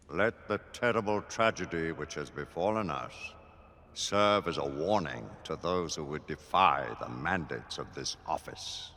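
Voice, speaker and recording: A stern, imperious older British statesman in a dramatic game cutscene. His formal oratory is forceful and sweeps widely up and down. Long hall reverb surrounds the voice. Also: on the low side, full character voice. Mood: grave, accusing. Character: stern, imperious older male Lord Chancellor of knights. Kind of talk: theatrical game cutscene acting.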